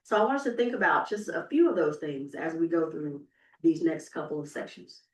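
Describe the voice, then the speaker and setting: A woman with a slightly low voice explains material as a presenter at a live event. She keeps checking that listeners follow. The sound is echoey and somewhat distant from the microphone. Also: lively intonation, fairly quick. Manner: checks in often with "right?". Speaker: presenter giving historical commentary. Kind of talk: explanatory public talk. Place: live event in a reverberant room. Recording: echoey room, far from the mic.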